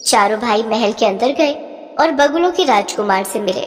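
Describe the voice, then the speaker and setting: A woman's voice: fairly high pitch, animated, moderate pace, music in the background. A woman reading a scripted children's moral story as its narrator. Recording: long reverb, noisy track.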